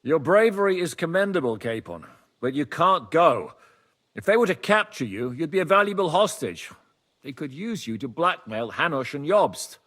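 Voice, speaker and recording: A man playing a grave medieval commander in a game, speaking with measured, formal authority. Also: on the high side, very animated, fairly bright tone. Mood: grave, resolute. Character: grave medieval commander planning a desperate mission. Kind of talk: dramatic game cutscene acting.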